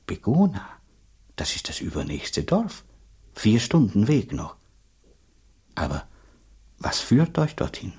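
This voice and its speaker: Gruff husky village priest. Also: male voice, on the low side, huge pitch swings, takes it slowly, long pauses, darkish tone, full character voice. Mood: curious, puzzled. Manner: blunt, grumbling, talkative. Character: gruff, suspicious village priest, grumbling then deferential. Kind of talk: radio drama acting.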